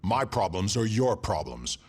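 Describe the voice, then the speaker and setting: An actor playing a big, angry gang leader in a video game, threatening and profane with a gruff delivery. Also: male voice, on the low side, very animated, a little slow, heavy background noise.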